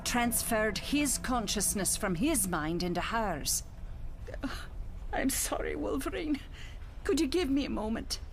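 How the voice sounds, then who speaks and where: A woman's voice: a little high, big pitch swings, unhurried. Sardonic, wry middle-aged mother and doctor, female actor, motion comic, music and rain behind. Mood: grave, raw. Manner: sarcastic, wry. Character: sardonic, bitter Scottish doctor, mother and ex-wife.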